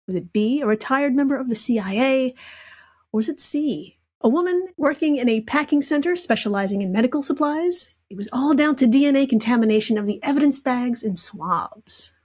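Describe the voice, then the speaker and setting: A woman's voice: sits high, lively intonation, brisk, a little mellow. A giggly, irreverent woman reading out a comedic quiz casually as a podcast guest.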